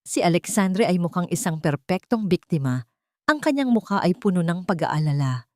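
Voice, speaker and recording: A woman's voice: lowish voice, lively intonation, moderate pace, no room sound, clean studio sound. A woman reading a scripted, dramatic love story as its narrator.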